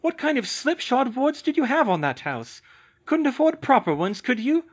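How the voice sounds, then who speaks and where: A man's voice: extremely high pitch, big pitch swings, moderate pace, slightly rough, lo-fi sound, pitched above the performer's own voice, full character voice. A man reading aloud voices a scornful, shrieking elderly great-aunt in a storybook. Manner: sneering, shrieking insults.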